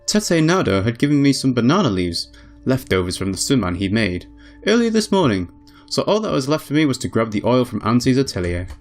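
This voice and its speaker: A man reading a visual novel aloud as a let's play host, in an expressive storybook style with casual asides. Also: medium pitch, brisk, soft background music. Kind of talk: dramatic read-aloud narration with casual asides.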